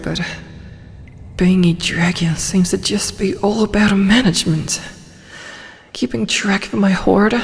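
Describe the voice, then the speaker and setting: A woman voicing a proud female dragon who turns shy and flustered in a hesitant ASMR roleplay, often slipping into breathy whispers. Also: medium pitch, in a large hall.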